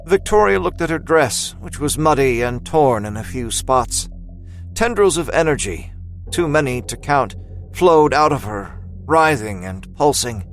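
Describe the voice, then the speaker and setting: A man narrating a scripted dramatic story with deliberate, literary phrasing. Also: medium pitch, expressive, soft background music.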